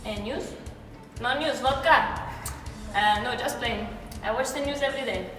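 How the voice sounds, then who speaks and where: A woman's voice: on the high side, normal speed, a bit bright, natural voice. A woman playing a joking Russian interviewee. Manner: puzzled, hesitant, jokey. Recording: echoey hall from far off, music behind.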